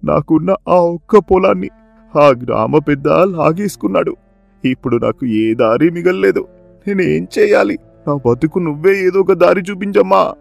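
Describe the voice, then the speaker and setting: Man voicing a high-pitched, emotional poor farmer. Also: moderate pace, vocal fry, no room sound, dull audio, soft background music. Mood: anguished. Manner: plaintive, emotional, exclamatory. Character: poor, pleading, honest village farmer wronged by chief. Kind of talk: dramatized moral story for cartoon.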